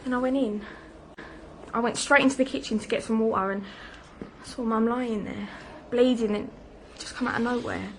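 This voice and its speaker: An actress playing an anxious young daughter and crime victim in a drama, speaking in a halting, apologetic, pleading way. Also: female voice, fairly high pitch, fairly even intonation, slight background noise, lightly acted, poor audio. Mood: shaken, halting. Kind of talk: naturalistic crime drama dialogue.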